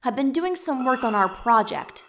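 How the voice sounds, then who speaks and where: An actress playing a young, caring, tech-savvy sidekick in an audio drama, matter-of-fact and analytical. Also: female voice, high-pitched, lively intonation, normal speed, fairly bright tone.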